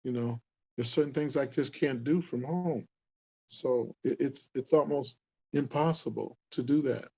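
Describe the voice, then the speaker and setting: A man's voice: medium pitch, a little slow, vocal fry, darkish tone. A man in his sixties from the Upper Midwest, a community outreach leader speaking casually to camera, earnest and repetitive for emphasis. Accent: Upper Midwest American. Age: 60s. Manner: earnest, rambling, repeats himself for emphasis.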